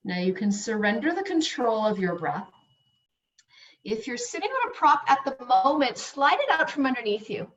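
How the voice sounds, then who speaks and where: A woman yoga instructor leading slow guided breathing and meditation in soothing, unhurried short phrases with long pauses. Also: fairly high pitch, very animated. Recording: dull, echoey room, distant mic.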